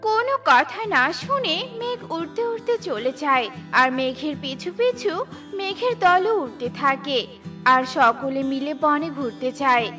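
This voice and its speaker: A woman's voice: sits high, expressive, a little slow, sharp tone, faint music behind. A woman narrating a scripted children's story.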